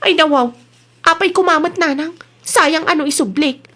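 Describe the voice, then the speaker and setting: Easygoing young wife, comedy drama played by a woman, high voice, drawn-out pleading delivery, Filipino accent. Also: sharp tone. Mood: defensive, cheerful.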